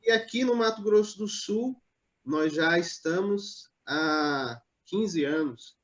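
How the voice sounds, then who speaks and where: A man from Northeast Brazil, a pastor, telling his life story in a live online interview in a measured, hesitant way, with many pauses and fillers. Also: high voice, lively intonation, a bit bright, highs cut off. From Natal, Northeast Brazil.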